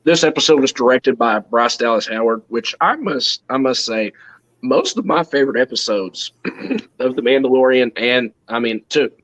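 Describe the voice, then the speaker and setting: A man's voice: mid-range voice, a bit bright. Man with a slightly off voice, dull audio. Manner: halting, restarts often. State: voice a little off from throat drainage. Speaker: co-host of a live fan show. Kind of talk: casual live-stream chat.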